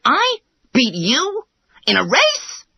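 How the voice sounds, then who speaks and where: A woman voicing a boastful, energetic male hare who mocks others in a children's storybook. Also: sits high, takes it slowly, vocal fry, breathy edge, no echo, heavily acted. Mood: amused, mocking. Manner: cocky, exclaiming, scornful. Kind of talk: children's storybook character acting.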